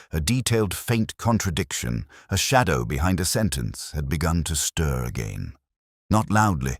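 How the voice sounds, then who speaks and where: Man playing a deep-voiced brooding detective narrator. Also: dry recording, studio quality, full character voice. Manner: measured, solemn, literary phrasing. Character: brooding, contemplative male police detective recounting a murder case. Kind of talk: first-person scripted mystery narration, audio drama.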